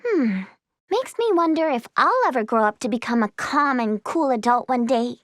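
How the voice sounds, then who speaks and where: A woman voicing a cheerful, excitable, inquisitive teenage girl in a video game, with a perky, teasing, youthful manner. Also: fairly high pitch, normal speed, dry recording, clean studio sound. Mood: musing. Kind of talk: video game character dialogue.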